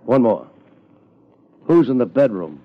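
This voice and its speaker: An American actor playing a laid-back, wry, tough private detective in 1950s radio drama style, with dry wisecracks. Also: male voice, medium pitch, very animated, measured pace. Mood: cool, probing. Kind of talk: 1950s radio detective acting, narrating and in dialogue. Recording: dull vintage 1950s radio recording.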